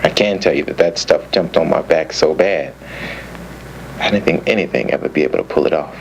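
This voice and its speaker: A man's voice: a bass voice, fairly quick, gravelly. A man playing a streetwise young former crack addict, giving an emphatic, colloquial confession in an educational film. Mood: regretful. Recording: noisy old educational film soundtrack.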